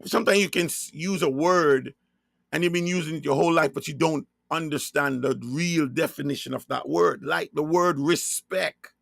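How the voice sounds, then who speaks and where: Jamaican man, high voice, gravelly, emphatic preaching, dry sound. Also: moderate pace, fairly bright tone, dry recording. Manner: emphatic, repeats and spells out key words. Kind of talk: slow sermon-style monologue.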